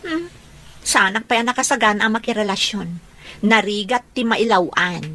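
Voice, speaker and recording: A woman's voice: medium pitch, normal speed, sharp tone. An actress playing a reluctant, irritable young working woman in an Ilocano radio comedy drama, complaining expressively. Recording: music behind the dialogue.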